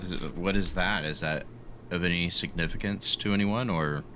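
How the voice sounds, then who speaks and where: A man's voice: low-pitched, moderate pace. A man playing a tabletop game, talking casually in a tentative, questioning way. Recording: noisy room with steady background ambience.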